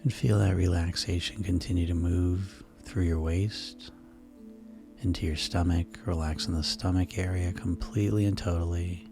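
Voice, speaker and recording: A man guiding a sleep hypnosis session in a slow, soothing, repetitive way. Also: sits low. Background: music.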